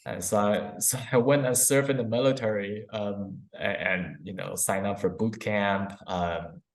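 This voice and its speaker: Guy prof explaining stuff in an interview, casual chatty, kinda low voice, roomy echo. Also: fairly even intonation, a little slow. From born in Taiwan, grew up in Belize. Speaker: psychology professor, interview guest. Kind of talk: conversational interview answers. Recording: roomy, echoey interview sound.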